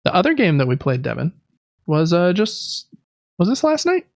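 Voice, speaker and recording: A man's voice: high-pitched, wildly swooping pitch, normal speed, no room sound. A man co-hosting a gaming podcast, chatting casually in a rambling, enthusiastic, informal way. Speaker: podcast co-host.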